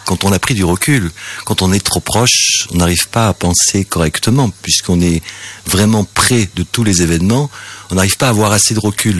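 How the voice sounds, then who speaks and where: Deep-voiced male interviewer. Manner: thoughtful, long reasoning questions. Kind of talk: interview questions.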